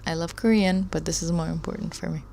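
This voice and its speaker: A low, gravelly woman's voice talking to her stream chat from a home desk mic. She rambles, trails off, laughs between thoughts and circles back to comments in loose casual chat. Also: steady tone, fast, darkish tone. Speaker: live stream host studying a course.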